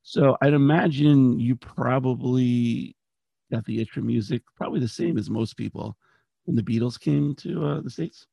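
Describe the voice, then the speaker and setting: A man's voice: mid-range voice, moderate pace, creaky, dark tone, husky, no echo, highs cut off. A man hosting a podcast interview in a casual, enthusiastic way while he is losing his voice. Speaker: podcast host.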